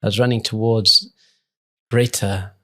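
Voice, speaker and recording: A man's voice: on the low side, fairly even intonation, unhurried, bright, forceful tone. A man speaking as an interview guest, reflective and earnest in an informal, conversational way. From England. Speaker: rabbi and podcast guest.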